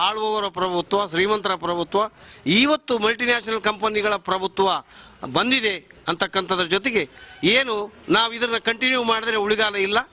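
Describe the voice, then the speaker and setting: A middle-aged man delivers political opinion like a speech. His voice is high and forceful, with emphatic, repeated phrases built up for effect. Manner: emphatic, rhetorical repetition. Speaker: member of the public giving views.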